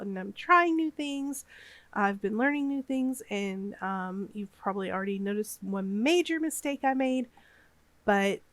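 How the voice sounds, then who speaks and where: Vlogger woman, 30s, sniffly from a cold, slow and meandering, dry close sound. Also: medium pitch, no echo. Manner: rambling, hesitant, lots of fillers. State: has a cold, a bit sniffly. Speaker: gaming YouTuber. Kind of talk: casual voiceover over a speedbuild.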